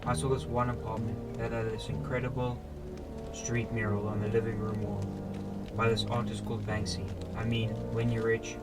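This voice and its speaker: Scheming young husband over loud music man acting. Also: on the low side, flat delivery, moderate pace, lightly acted. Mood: casual. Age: teenager. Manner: pushy and persuasive. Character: scheming, persuasive, money-hungry husband. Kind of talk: amateur radio drama acting.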